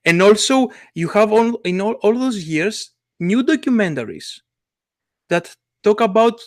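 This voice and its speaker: Man, casual chat, halting, restarts, fairly high voice, dry recording. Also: expressive, no room sound. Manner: halting, many restarts and fillers.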